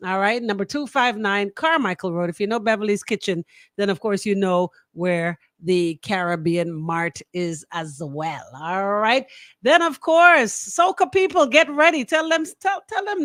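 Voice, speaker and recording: A woman's voice: medium pitch, fairly bright tone, no echo, studio quality. A woman with a Bahamian accent hosting radio in a colloquial, emphatic banter style, repeating phrases for emphasis. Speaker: radio show host. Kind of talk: lively radio banter with sponsor shout-outs.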